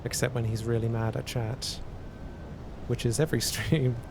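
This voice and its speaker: A man streaming casually, answering chat and working through math aloud in a halting way that repeats words. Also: level tone, creaky. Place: cold indoor room. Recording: noisy with a low rumble.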